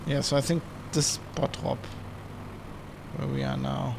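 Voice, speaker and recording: Gravelly man rambling on stream. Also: medium pitch, little pitch movement, a little slow, background noise. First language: German. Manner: rambling, with many restarts and self-corrections. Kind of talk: casual flight-sim stream commentary.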